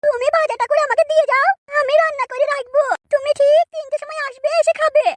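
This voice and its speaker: Tiny-pitched bossy, flirty cartoon wife. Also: female voice, fairly even intonation, fast talker, dry recording, studio quality. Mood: coaxing, flirtatious. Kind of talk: comic cartoon dialogue. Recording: music bed behind the voice.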